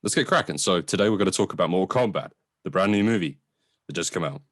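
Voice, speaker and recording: A man's voice: deep voice, lively intonation, quick pace, no echo. A young man hosting a casual review chat as a podcast host. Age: young adult.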